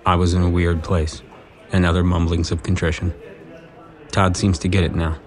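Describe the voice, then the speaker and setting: A man's voice: deep voice, little pitch movement, normal speed, lightly acted. A man reading scripted narration for a romantic comedy audio drama.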